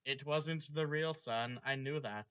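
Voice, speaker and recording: A man's voice: mid-range voice, measured pace, fairly bright tone, clear, resonant tone. A man reading fan fiction aloud and breaking off into casual, chatty asides. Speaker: story reader. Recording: dull lo-fi recording.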